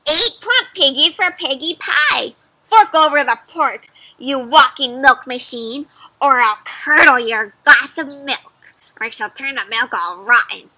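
A woman voicing a greedy, ill-tempered witch in a read-aloud picture book, shouting bossy demands. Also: high voice, animated, slow, dry recording, full character voice, rough recording. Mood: angry shouting.

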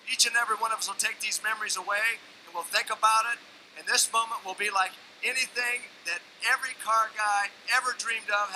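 A man's voice: high voice, bright, forceful tone, a little hiss or hum, rough recording. A man hosting a live auction, hyping up the crowd in a large arena. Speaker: auction show host.

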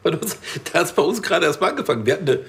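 A man's voice: a little high, very animated, rapid-fire, creaky, a bit bright, exaggerated voice. Awkward, chatty policeman. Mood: awkward, nosy. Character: awkward, bumbling, chatty male police officer.

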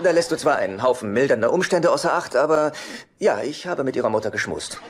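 A hapless, moralizing divorced brother acted by a man in a sitcom dub. He is fussy and earnest, with a medium-pitched, very animated voice. The soundtrack has clear background noise. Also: quick pace, natural voice. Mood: awkwardly earnest. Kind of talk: dubbed sitcom dialogue.

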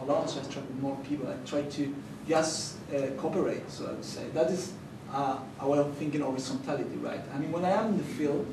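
Chilean man on an echoey panel. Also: on the high side. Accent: Chilean Spanish. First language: Spanish. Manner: earnest, halting, with many fillers and restarts. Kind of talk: conference panel talk. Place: conference hall. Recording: echoey hall, distant mic, noisy.

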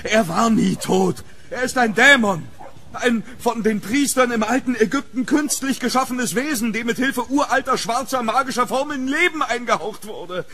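A man playing a fearful, hunted gentleman in a German horror radio play, speaking with dramatic urgency. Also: extremely high pitch, moderate pace, exaggerated voice. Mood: alarmed. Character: hunted, fearful English gentleman haunted by a demon.

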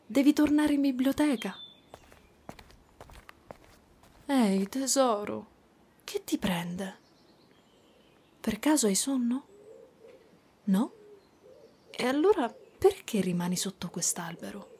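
A woman voicing a gentle, doting spider-woman caretaker in a soft, cooing ASMR roleplay. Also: on the high side, expressive, unhurried, pauses often, slight background noise. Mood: gently concerned. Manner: soft, cooing, tender, sometimes whispering.

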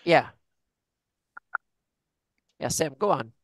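A man with a fairly high voice hosts a relaxed lore discussion. He talks haltingly, stopping and repeating words as he thinks. The recording is dry and close. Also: no echo. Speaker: podcast host.

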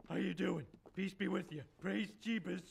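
A man's voice: sits high, fairly even intonation, normal speed, creaky, slight background noise. An actor playing a grumpy, lazy middle-aged cartoon father who mutters sarcastic complaints.

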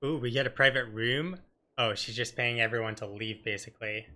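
A man's voice: medium pitch, fairly even intonation, fairly quick, pushed and bright. A man in his thirties giving casual, joking, rambling let's play commentary as a streamer.

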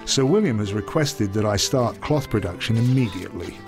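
Earnest young lord. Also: male voice, on the low side, lively intonation, fairly quick, over music. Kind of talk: video game cutscene acting.

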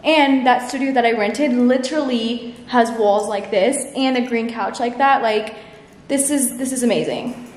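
A woman's voice: a little high, normal speed. A woman in her early twenties chatting casually to camera in an informal, filler-heavy way, as a lifestyle vlogger. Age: early 20s. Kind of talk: casual vlog chat to camera. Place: large, mostly empty room in a house. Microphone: camera at arm's length or on tripod. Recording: echoey bare room with faint noise.

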